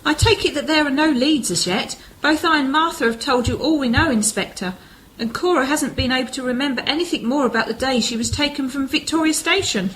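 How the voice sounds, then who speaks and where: A woman's voice: high voice, fairly quick, fairly bright tone, rough recording. A woman playing a polite, anxious and inquisitive wife in a radio play, with a hesitant, courteous manner. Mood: concerned, inquisitive. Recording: clear background noise.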